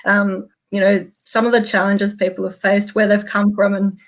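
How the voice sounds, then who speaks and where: A woman's voice: medium pitch, little pitch movement, moderate pace. Australian woman, chatty and earnest. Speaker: meetup guest speaker, small business owner. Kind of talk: casual book review to a group. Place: home office, on a video call.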